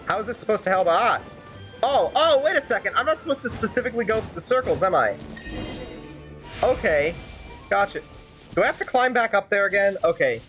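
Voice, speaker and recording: A woman's voice: mid-range voice, very animated, a little fast, pauses often, music in the background. A woman streamer improvising fan-dub commentary over a game, sarcastic and rambling.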